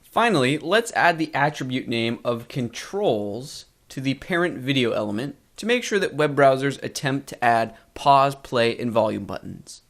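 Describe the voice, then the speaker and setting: A man narrating a scripted tutorial as a course instructor, measured and clearly enunciated. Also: medium pitch, lively intonation, a bit bright. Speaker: web design course instructor.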